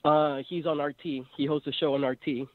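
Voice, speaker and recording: A young man with a Mexican accent talks over a tinny phone line. His voice sits a little high and sounds dry. He rambles and hesitates, with fillers everywhere. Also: fairly even intonation, a little fast, dry recording. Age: 20s. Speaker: caller. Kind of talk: phone-in call to a live show.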